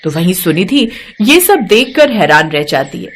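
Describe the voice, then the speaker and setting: A woman's voice: lowish voice, very animated, normal speed. A woman reading scripted narration for a moral story as its narrator.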